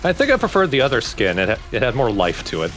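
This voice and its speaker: A man's voice: mid-range voice, wildly swooping pitch, fast talker, a bit bright, faint music behind, low-quality recording. A man chatting casually and jokingly over a video game. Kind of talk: casual banter over gameplay.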